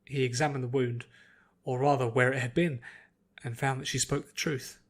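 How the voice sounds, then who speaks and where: A man's voice: medium pitch, flat delivery, moderate pace. A man reading scripted fiction aloud as an audiobook narrator.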